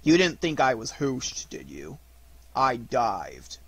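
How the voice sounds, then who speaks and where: A man voicing a gloomy, aggrieved old donkey in a storybook, gruff, slow and deadpan. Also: mid-range voice, dry recording, exaggerated voice. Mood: aggrieved, sardonic. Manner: slow, drawn-out, deadpan grumbling.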